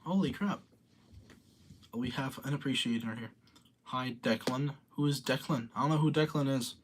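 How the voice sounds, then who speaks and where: A man's voice: mid-range voice, moderate pace, slightly rough. A young man in his late teens, casually rambling through commentary and reading viewer comments aloud. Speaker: streamer reading chat.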